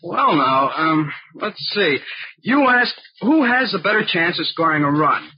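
An actor playing an eager, folksy baseball fan in a scripted 1940s radio ad dialogue, agreeable and wondering. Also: male voice, sits high, very animated, unhurried, slightly rough, dry recording, heavily acted. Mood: curious, agreeable. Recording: muffled vintage radio recording.

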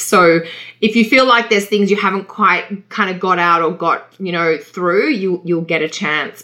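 Bright, forceful Australian woman, slightly low. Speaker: astrology show co-host. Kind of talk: casual discussion.

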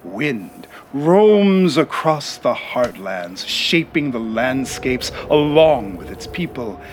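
A slow, theatrical, ominous storyteller. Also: male voice, mid-range voice, very animated, slight background noise. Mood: solemn, ominous. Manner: dramatic, deliberate. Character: grandiose, ominous male storyteller host of satirical sci-fi serial. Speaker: narrator. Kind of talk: theatrical scripted narration.

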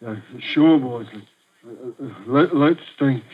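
An actor playing a slow-witted, punch-drunk former boxer who stammers and talks like a child, in a 1940s radio drama. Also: male voice, medium pitch. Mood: childlike. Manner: stammering, simple, childlike speech. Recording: muffled old radio recording.

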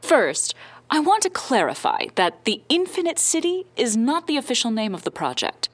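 A woman playing a powerful middle-aged scientist and founder in an audio drama, giving a measured, firm, guarded interview. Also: a little high, wildly swooping pitch. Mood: composed, assertive.

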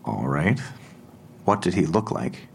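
Male investigator, audio drama: deep and gravelly, blunt, terse, skeptical, unshowy. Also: very animated, heavily acted. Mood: measured, steady. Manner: terse, measured, matter-of-fact questioning. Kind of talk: naturalistic audio drama acting.

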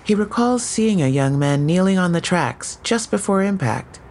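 An actor playing a young police officer who reports the facts of a case crisply and precisely. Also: medium pitch, lively intonation, normal speed, darkish tone, close to an everyday voice. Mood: matter-of-fact. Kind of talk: audio drama acting.

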